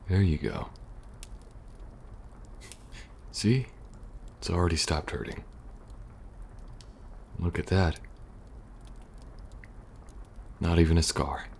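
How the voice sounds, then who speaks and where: A man playing a weary, protective young survivor agent in an ASMR audio roleplay, speaking softly and reassuringly, a little hesitant. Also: deep voice, measured pace, vocal fry. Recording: faint background noise and ambience.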